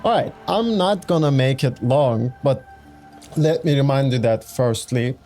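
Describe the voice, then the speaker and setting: A man's voice: medium pitch, animated, unhurried, dark tone. A young man with a Turkish accent, a gaming YouTuber, chattily walking through a game build with fillers and restarts. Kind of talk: casual game build walkthrough. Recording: faint background music.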